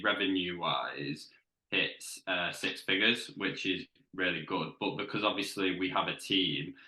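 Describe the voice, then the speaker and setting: A man who runs a small web agency, answering interview questions in a relaxed way, his sentences trailing off at the ends. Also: fairly low pitch, reverberant, rough recording.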